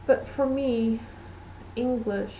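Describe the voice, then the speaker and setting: A woman's voice: a little high, slow, warm tone, a little hiss or hum, poor audio. A woman from Portugal, a native Portuguese speaker, hosting a knitting podcast in rambling, self-correcting casual chat.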